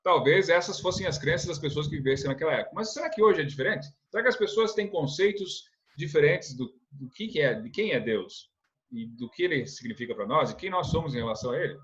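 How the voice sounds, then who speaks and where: A man's voice: fairly high pitch, animated, fast, fairly bright tone. Man, church lesson, earnest, rhetorical questions, muffled lo-fi sound. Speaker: religious instructor.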